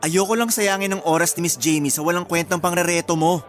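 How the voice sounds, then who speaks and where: A man's voice: high-pitched, fairly even intonation, fast talker, soft background music, lightly acted. A man playing a jealous, possessive groom-to-be with a curt, challenging manner in a radio drama. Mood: irritated, jealous.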